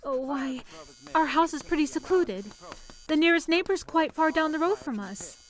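A woman playing a polite, anxious young telephone operator in an old-fashioned radio drama, with courteous, soft-spoken reassurance. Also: high voice, animated, moderate pace. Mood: worried. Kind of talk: 1940s radio drama acting.

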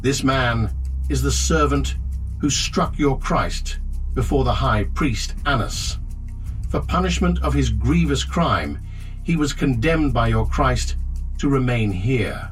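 A man playing a grave captain who speaks in a slow, measured way over faint music. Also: mid-range voice, lively intonation, rough recording. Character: grave male captain guarding a secret. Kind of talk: dramatized quote in documentary narration.

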